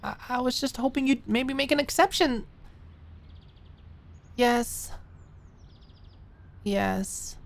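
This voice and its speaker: Lady doing a nervy young fashion student girl, higher voice, stammers and over-apologizes, audiobook drama. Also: takes it slowly, creaky, long pauses, exaggerated voice. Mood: deflated. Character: eager, anxious young fashion student, woman, hiding hurt.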